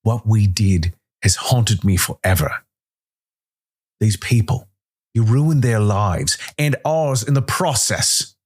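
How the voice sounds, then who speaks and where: A man's voice: on the low side, slow pace, voice pushed up. A man voicing a bitter, vengeful spacefarer in a science fiction story, raging and accusatory. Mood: bitter rage. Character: bitter, vengeful, enraged ex-crewmate of a space bounty hunter.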